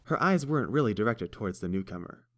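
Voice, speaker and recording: A man narrating a story in a steady, even storytelling manner. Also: lowish voice, quick pace, a little hiss or hum. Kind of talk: fan audiobook narration.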